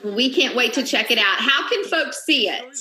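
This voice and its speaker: Upbeat lady tv host, really high bright voice, roomy video call. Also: lively intonation, normal speed, crowd noise. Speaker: TV lifestyle segment host. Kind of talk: upbeat interviewing on local news. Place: indoors at home, small room.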